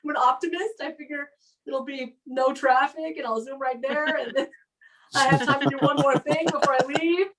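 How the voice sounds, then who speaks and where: A woman hosting a show on a live call, chatting casually and telling anecdotes in an animated way. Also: high-pitched, moderate pace, recorded from a distance, rough recording. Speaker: comedy show host. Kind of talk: casual chat. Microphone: live video call.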